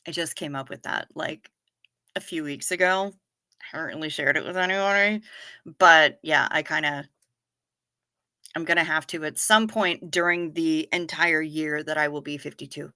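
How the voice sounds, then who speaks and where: A woman's voice: low-pitched, fairly even intonation, normal speed, pushed and bright, no room sound, clean studio sound. A woman in her fifties hosting a casual livestream, reading chat comments aloud in a chatty, wry way with casual swearing. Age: 50s. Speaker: livestream host.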